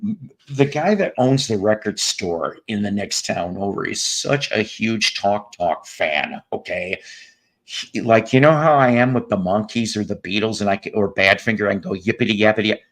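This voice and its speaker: A man with a raspy, hoarse voice hosting a music podcast, joking and rambling through tangents in casual chat. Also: fairly low pitch, animated, moderate pace, fairly bright tone, low-quality recording. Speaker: podcast host.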